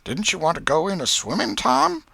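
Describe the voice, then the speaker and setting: A man acts out a fretful old Southern aunt from a storybook, pitched well up and swooping widely. She is folksy and exclamatory, a pious scold with a soft heart, and the sound is bright and forward. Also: wildly swooping pitch, moderate pace, creaky, husky, no room sound, heavily acted. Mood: sly questioning. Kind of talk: audiobook character voice.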